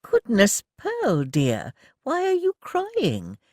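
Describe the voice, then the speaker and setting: A prim, officious lady with a brisk, patronising politeness, put on by a male reader in a higher, lifted register. The delivery is dry and close. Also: very animated, darkish tone, dry recording. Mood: astonished. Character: genteel refined middle-aged lady, brisk and patronising. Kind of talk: audiobook character voice.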